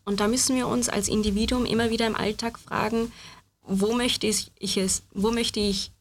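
A woman presenting research findings in a measured, explanatory discussion. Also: on the high side, fairly even intonation. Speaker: student researcher.

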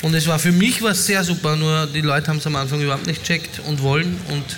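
Male guest, relaxed and friendly explainer, higher voice, faint background noise. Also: quick pace. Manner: friendly, explains things loosely. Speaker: guest, DJ and actor. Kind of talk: casual interview answers.

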